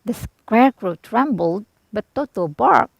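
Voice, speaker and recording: A woman reading a children's storybook aloud as expressive scripted narration. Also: fairly high pitch, measured pace, creaky, husky, dry recording.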